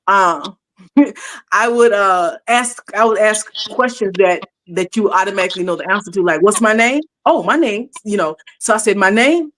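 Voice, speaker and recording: Lively woman in her sixties telling stories. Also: medium pitch, moderate pace. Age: 60s. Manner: animated, laughs often, acts out quoted voices. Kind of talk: casual storytelling chat.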